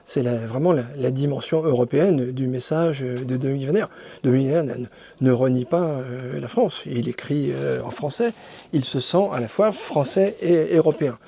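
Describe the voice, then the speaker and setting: A man's voice: medium pitch, moderate pace, gravelly, dark tone, slightly rough. A man speaking as a guest in an interview, hesitant and full of restarts and fillers. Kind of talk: casual interview talk.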